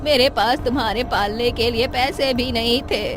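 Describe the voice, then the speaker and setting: A woman's voice: fairly high pitch, steady tone, normal speed, lightly acted. A woman voicing a trembling old mother. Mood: remorseful. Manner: trembling, stammering pleas. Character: frightened old village mother, remorseful and pleading. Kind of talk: animated horror story voice acting. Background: music.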